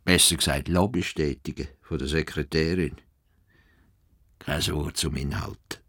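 A man's voice: very deep voice, fairly even intonation, a little slow, fairly bright tone, a little hiss or hum, heavily acted. A man acting an indignant, stubborn old farmer in a radio play, with a Swiss German accent and a grumbling, emphatic manner. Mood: grumbling, bitter. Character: stubborn, indignant old male Swiss farmer and self-appointed world reformer.

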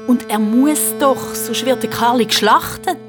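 A woman's voice: a little high, measured pace, music in the background. A woman with a Swiss accent narrating a children's story in a playful, dramatic way.

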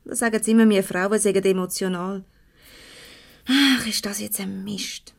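An actress playing a brisk, conscientious office secretary with a Swiss German accent, easily exasperated, in 1970s radio comedy style. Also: female voice, medium pitch, steady tone, gravelly.

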